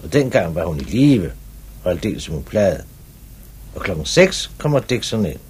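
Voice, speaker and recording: An actor playing a brisk, probing police commissioner in a 1950s radio drama, with clipped, authoritative questioning. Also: male voice, low voice, sing-song, darkish tone, husky, exaggerated voice. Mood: reasoning.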